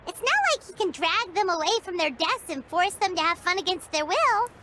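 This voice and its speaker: A woman voicing a tiny, excitable childlike fairy companion in a video game, squeaky and chirpy with exclamatory phrasing. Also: normal speed, a little hiss or hum, full character voice. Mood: chatty, teasing. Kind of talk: English video game voice acting.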